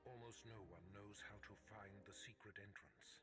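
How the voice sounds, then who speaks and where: An actor playing a sinister, commanding Sith overseer in a sci-fi video game, speaking in a grandiose, menacing, measured way. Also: male voice, fairly low pitch, lightly acted. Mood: grave, ominous. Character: sinister male Sith overseer, commanding, coldly pleased schemer. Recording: dramatic music under the voice.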